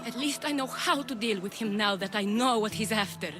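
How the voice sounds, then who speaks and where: A woman's voice: medium pitch, a little fast, music in the background, natural voice. A woman playing a guarded, shrewd but concerned woman in a video game. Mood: concerned, relieved.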